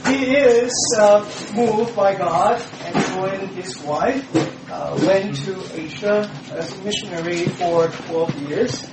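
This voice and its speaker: A man introducing a church speaker and leading prayer, halting with frequent filler pauses. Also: sits high. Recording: echoey, distant, noisy room mic.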